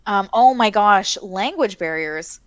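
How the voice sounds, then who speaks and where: A woman's voice: medium pitch, lively intonation, slow, clear, resonant tone, no room sound. Canadian lady in her 30s chatting on a livestream, lots of ums and laughs, dry close sound. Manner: chatty, laughs often, frequent fillers. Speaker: co-host of a missing-persons livestream. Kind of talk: casual live chat.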